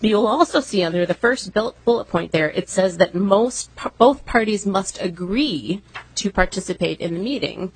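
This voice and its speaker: A woman giving prepared public testimony at a hearing, making her argument in a formal, measured manner. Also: medium pitch, animated, husky, dry recording, poor audio.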